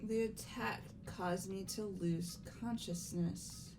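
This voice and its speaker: Composed, formal young female game character, played by a woman; slow, measured, halting delivery over prominent music. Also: mid-range voice, fairly even intonation, gravelly. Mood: grave, formal. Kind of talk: dramatic video game voice acting.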